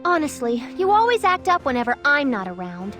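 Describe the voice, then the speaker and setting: A woman's voice: high voice, very animated, brisk, a bit bright, soft background music. A woman playing a stern, dutiful bodyguard and soldier in a video game, speaking formally and exactingly. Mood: stern, disappointed. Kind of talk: video game cutscene voice acting.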